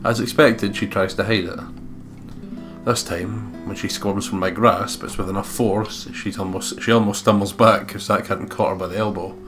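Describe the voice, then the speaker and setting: Guy with a lowish voice reading a visual novel out loud n chatting, guitar music under him. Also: steady tone, moderate pace. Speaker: let's play gamer. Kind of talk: reading game narration aloud with casual commentary. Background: game soundtrack with guitar.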